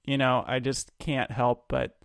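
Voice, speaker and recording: A man's voice: mid-range voice, steady tone, a little slow, gravelly, a bit bright, dry recording, studio quality. A man hosting an interview, responding earnestly and appreciatively to his guest. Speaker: podcast host. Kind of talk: interview conversation.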